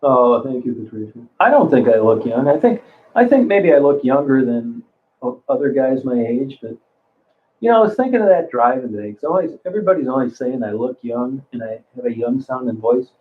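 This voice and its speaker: A man's voice: medium pitch, expressive, brisk. Older guy in his 60s rambling and swearing on a stream, tinny phone-ish audio, keeps restarting sentences. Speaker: livestream host reading chat. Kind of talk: rambling casual chat. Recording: phone-like narrow sound.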